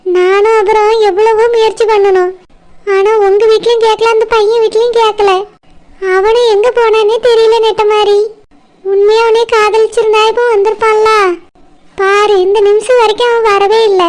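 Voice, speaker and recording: A woman voicing a chatty village cartoon story, taking several roles in a lively way. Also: very high voice, normal speed. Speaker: cartoon narrator and voice actor.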